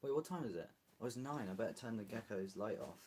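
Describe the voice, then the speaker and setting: A man's voice: on the low side, animated, fast talker, background noise. A British man streaming live, chatting casually and rambling as he answers viewer comments. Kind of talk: casual livestream chat.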